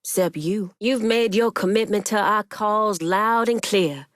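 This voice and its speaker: A woman's voice: mid-range voice, measured pace, no echo, clean studio sound, natural voice. An actress playing a proud, shrewd woman crime boss in a video game, speaking firmly and bluntly. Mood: resolute.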